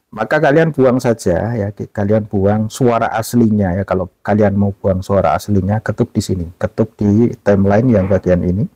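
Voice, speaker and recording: A man hosting a casual app tutorial, talking in a relaxed way and tagging "ya" onto most phrases. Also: fairly low pitch, moderate pace, no echo. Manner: relaxed, repeats "ya" and fillers often. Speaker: tutorial host.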